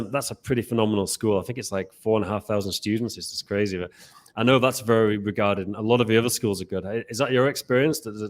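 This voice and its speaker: A man hosting a podcast interview, asking long rambling questions full of restarts. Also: fairly low pitch. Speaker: podcast host. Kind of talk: casual interview.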